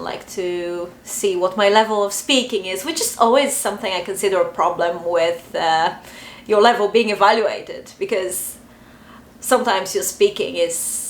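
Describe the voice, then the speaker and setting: A young adult woman with a Bulgarian accent, talking casually to camera and pausing often with fillers. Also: mid-range voice. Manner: chatty, hesitant, many fillers. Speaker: vlogger. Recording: echoey room, faint ambience.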